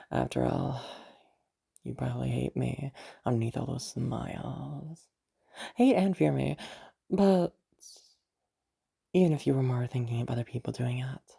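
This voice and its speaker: A woman acts out a sly villainous woman in an intimate audio roleplay, her voice low and gravelly. She teases slowly, with hesitant pauses and soft hums, sounding dangerous yet tender underneath. The tone stays dark and mellow. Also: heavily acted. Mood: sincere, grateful. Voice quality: low, husky, creaky. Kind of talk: romantic audio roleplay, intimate monologue to a listener.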